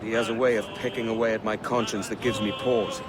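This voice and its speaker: An actor playing a roguish, wary pirate captain in a video game, with a low-key, wry manner. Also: male voice, flat delivery, fast talker, crowd noise, close to an everyday voice. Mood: pensive, wary. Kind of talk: video game dramatic dialogue. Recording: loud boat and wave noise behind.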